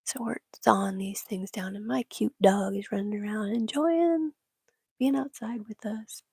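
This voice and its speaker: A woman from Michigan whispering in casual chat with her livestream viewers, breathy and laughing often. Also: medium pitch, a little slow, warm tone, dry recording, clean studio sound. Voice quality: breathy, gravelly. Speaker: livestream host.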